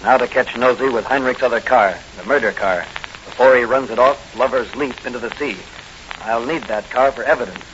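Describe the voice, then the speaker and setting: An actor playing a bold, commanding masked crime fighter in a declamatory 1940s radio style. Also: male voice, mid-range voice, brisk, lightly acted. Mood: determined. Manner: declamatory, thinking aloud. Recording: muffled, noisy old radio recording.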